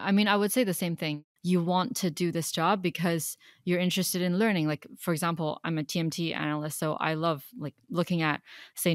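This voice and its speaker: A woman's voice: low-pitched, dry recording, studio quality. A woman in her twenties from Hong Kong, working as an analyst, answering interview questions thoughtfully with frequent fillers.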